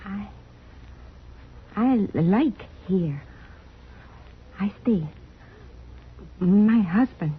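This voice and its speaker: An actress playing a gentle, hospitable wife whose first language is Arapaho, speaking halting broken English in an old radio western. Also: female voice, mid-range voice, lively intonation, slightly rough, full character voice. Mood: earnest, welcoming. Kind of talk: 1950s radio western acting. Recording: muffled, tinny old radio recording.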